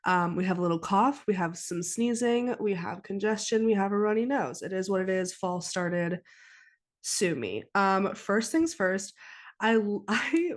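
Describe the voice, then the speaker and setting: A woman's voice: medium pitch, lively intonation, unhurried. Chatty congested young woman podcaster. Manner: chatty, rambling, with frequent ums and restarts. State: congested from a head cold. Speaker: podcast host and psychic medium. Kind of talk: casual solo podcast chat.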